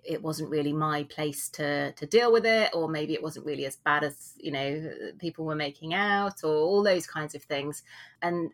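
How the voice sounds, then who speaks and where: A woman's voice: deep voice, a bit bright. A British woman in her forties, chatting casually and self-deprecatingly as a guest on a podcast, recorded at home. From England. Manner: chatty, rambling, self-deprecating with frequent laughs. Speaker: guest author. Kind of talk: casual interview chat.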